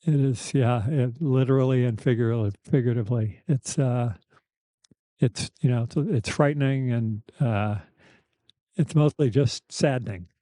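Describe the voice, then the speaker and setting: Mature male podcast host, mellow soft voice, slightly weak and lisping, halting candid delivery, dry close sound. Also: mid-range voice, dry recording. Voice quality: slight lisp, thick-tongued articulation. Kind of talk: reflective personal conversation.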